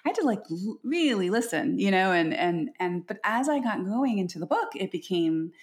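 A woman's voice: mid-range voice, big pitch swings, moderate pace. A woman interviewer in casual conversation, chatty and affirming as she shares stories and asks questions. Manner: warm, affirming, chatty storyteller.

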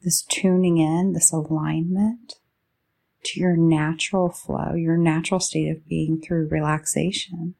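A woman guides a meditation in a fairly low voice with a gravelly, fried edge. Her tone is dark and soft. She moves very slowly, laying out soothing phrases one short piece at a time. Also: little pitch movement. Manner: slow, soothing delivery in short drawn-out phrases.

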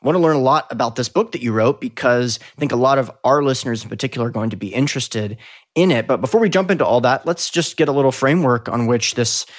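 A man's voice: medium pitch, fast. A man hosting a podcast, chatty and upbeat as he introduces and questions his guest. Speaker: podcast host. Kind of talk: casual interview hosting.

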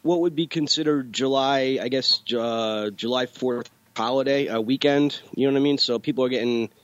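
A congested young man co-hosting a casual podcast, rambling through fillers and loose asides. His voice sits in an ordinary middle pitch. The dry, slightly dull recording has no room sound. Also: moderate pace, no room sound. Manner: rambling, filler-heavy, laid-back. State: congested with bronchitis. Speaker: podcast co-host. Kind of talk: casual chat.

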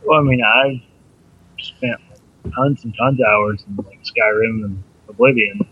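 A man's voice: lowish voice, a little slow, slightly rough. A man bantering on a gaming podcast, jokey and self-mocking, on a dull lo-fi mic. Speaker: podcast co-host. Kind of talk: casual gaming podcast chat.

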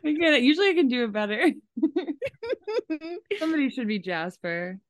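A woman's voice: high voice, big pitch swings, moderate pace, no room sound. A woman co-hosting a podcast in casual chat. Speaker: podcast co-host.

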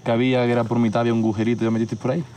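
A man's voice: mid-range voice, level tone, racing, dark tone, a little hiss or hum. A man hosting a podcast, chatting informally and casually with his guest outdoors. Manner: informal, friendly, says "tío" a lot. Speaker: podcast host interviewer. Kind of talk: casual interview chat. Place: outdoor hilltop viewpoint at sunset. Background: outdoor ambience.

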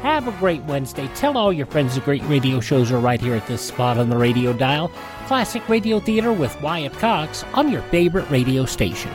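A man's voice: on the high side, very animated, normal speed, music in the background. A man hosting a radio show of vintage programs, talking conversationally to listeners.

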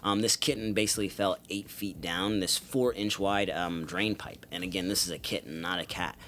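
Low laid-back male podcaster. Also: normal speed, fairly bright tone, slight background noise. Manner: laid-back, lots of ums and laughs. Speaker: podcast co-host. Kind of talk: casual podcast chat.

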